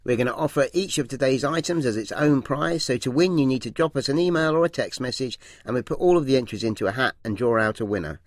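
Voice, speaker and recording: A man hosting a comedy podcast, talking in a chatty and slightly halting way. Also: mid-range voice, no room sound. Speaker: host.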